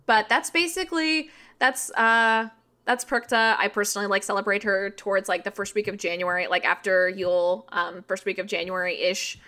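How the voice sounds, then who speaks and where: A woman's voice: mid-range voice, steady tone, moderate pace, pushed and bright. A woman of thirty talking as a podcast guest in a casual, informal interview style, explaining things with frequent filler "like".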